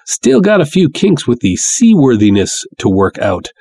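A man's voice: mid-range voice, huge pitch swings, moderate pace, gravelly, warm tone, slightly rough, no room sound, voice pushed up, exaggerated voice. A man voicing a brash, jovial, sly showman promoter with folksy salesman patter in a pulp story reading. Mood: boastful. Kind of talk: dramatic reading of pulp fiction.

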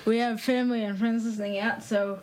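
A teenage girl from South Sudan co-hosting a casual radio chat, speaking hesitantly with pauses. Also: a little high, steady tone, a little mellow, rough recording. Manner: hesitant, halting. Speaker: youth radio co-host.

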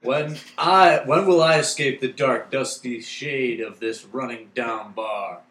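A man's voice: medium pitch, slow pace, fairly bright tone. A man acting a world-weary, helpful 1930s bartender in old-time radio comedy, plain-spoken and theatrical. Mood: wistful, melodramatic. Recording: roomy, echoing room sound.

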